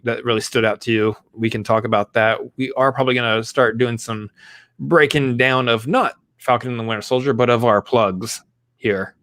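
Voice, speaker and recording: A man's voice: fairly low pitch, fairly bright tone. Jokey rambling male podcast host. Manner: jokey, rambling, upbeat plugging. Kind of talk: casual live-stream chat.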